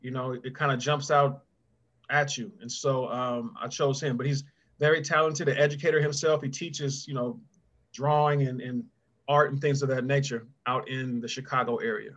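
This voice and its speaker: A man's voice: medium pitch, fairly even intonation, normal speed, a bit bright. A middle-aged man speaking as a guest author, chatting encouragingly and directly to children on a video call. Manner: conversational, addresses children directly by name. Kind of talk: encouraging question-and-answer talk to children. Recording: dull, muffled video call audio.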